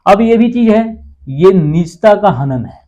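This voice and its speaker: A man's voice: high voice, animated, measured pace, warm tone. A man giving an informal explainer on the law to viewers, asking questions and answering them himself, repeating key points. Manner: repetitive, asks and answers his own questions. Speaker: legal explainer on a channel. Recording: dull lo-fi sound.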